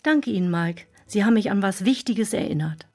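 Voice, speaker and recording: A woman's voice: medium pitch, expressive, brisk, a little mellow, lightly acted. An actress playing a proud, curt woman who speaks formally in a German children's audio drama. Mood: humbled, sincere. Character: Gabi, proud bitter woman who softens. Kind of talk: German children's radio play acting.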